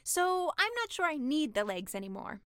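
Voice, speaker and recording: A woman voicing a naive, hesitant young mermaid in a storybook, with animated innocence. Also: sits high, moderate pace, dry recording, studio quality, pitched above the performer's own voice. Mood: doubtful. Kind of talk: storybook character voice.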